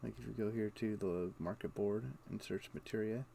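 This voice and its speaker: A man's voice: lowish voice, fairly even intonation, moderate pace, gravelly, warm tone. A man doing casual video game stream commentary, muttering to himself and explaining things offhandedly. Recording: faint background noise.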